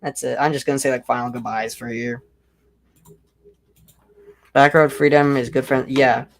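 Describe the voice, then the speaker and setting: A young man with a deep voice chats loosely on his own livestream. He rambles from thought to thought and leans on "like" constantly, sounding unscripted and offhand. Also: flat delivery, moderate pace, slight background noise. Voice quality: self-described deep voice. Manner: rambling, heavy use of "like". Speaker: livestream host. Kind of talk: casual livestream chat.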